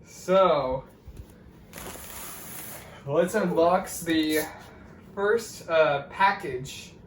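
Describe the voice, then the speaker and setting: A man's voice: fairly high pitch, extremely slow, a little hiss or hum. A man hosting a casual unboxing video from his room, jokey and chatting to viewers and friends. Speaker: host of a radio unboxing video. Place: a room at home.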